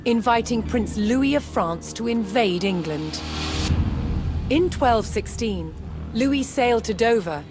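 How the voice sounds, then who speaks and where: A woman reading scripted historical narration for a strategy game, telling the story in a measured, deliberate way. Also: fairly high pitch, expressive, noisy background. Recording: studio voice-over with music underneath. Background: music.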